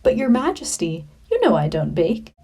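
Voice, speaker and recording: A woman's voice: on the high side, wildly swooping pitch, moderate pace, dark tone, slightly rough, exaggerated voice. A woman voicing an anxious, sniveling male palace cook from a storybook, pleading and protesting. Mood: worried, pleading.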